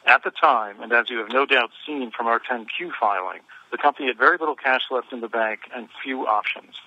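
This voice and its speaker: A man reading a formal, measured prepared statement as a company chief executive on a conference call. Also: medium pitch, no room sound. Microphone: telephone. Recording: dull telephone conference line.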